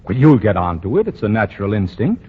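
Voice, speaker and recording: Fussy know-it-all boss, stammering, husky, dull vintage broadcast audio. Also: male voice, lowish voice, big pitch swings, fast. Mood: teasing. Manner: pompous, stammering, chuckling. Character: fussy self-assured young male store boss. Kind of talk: 1940s radio acting. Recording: dull 1940 radio broadcast.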